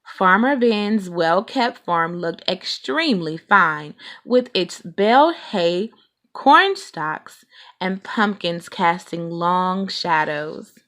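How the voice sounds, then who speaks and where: A woman reading a children's storybook aloud in an expressive, deliberate storytelling manner. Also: fairly low pitch. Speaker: read-aloud reader.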